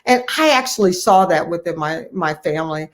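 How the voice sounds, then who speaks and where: A woman's voice: on the low side, animated, brisk. A middle-aged American woman speaking as an interview guest, telling personal stories in a conversational way. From Cleveland, Ohio, United States. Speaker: interview guest, family physician.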